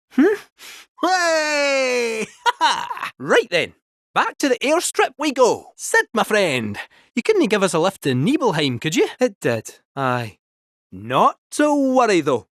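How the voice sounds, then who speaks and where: A man's voice: extremely high pitch, huge pitch swings, slow pace, a bit bright, no echo, clean studio sound, full character voice. A man voicing a chatty male robot cat fortune-teller with a Scottish accent, cheeky and folksy, full of rhyming quips. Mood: cheery resolve. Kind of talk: video game voice acting.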